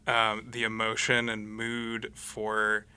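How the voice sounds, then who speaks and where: A man being interviewed as a musician guest, talking casually and haltingly as he searches for words. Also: lowish voice, monotone, pushed and bright, clear, resonant tone. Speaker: musician guest, saxophonist for a radio play. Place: radio studio.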